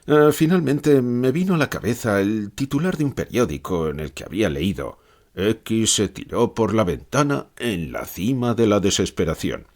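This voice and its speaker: Male actor as gloomy philosopher, anguished and declamatory; husky, very expressive pitch. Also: normal speed. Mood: reflective. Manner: dramatic, declamatory, rhetorical repeated questions. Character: gloomy, lucid pessimist philosopher, brooding and anguished man. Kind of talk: acted fictional interview, audiobook.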